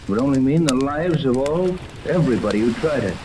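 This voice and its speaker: A man's voice: mid-range voice, animated, fairly quick, lightly acted. Man as a firm radio-serial captain, distant, noisy. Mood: grave, steady. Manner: plain-spoken, firm, unruffled. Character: steady, defiant male yacht captain, adventurous hero. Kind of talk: 1930s radio serial acting. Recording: roomy, distant, noisy 1930s radio recording.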